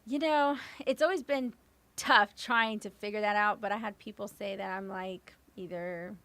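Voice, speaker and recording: A woman from the West Coast with a raspy voice, answering interview questions casually with lots of "you know.". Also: medium pitch, moderate pace, dry recording. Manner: relaxed, many fillers like "you know". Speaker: guest hip hop artist.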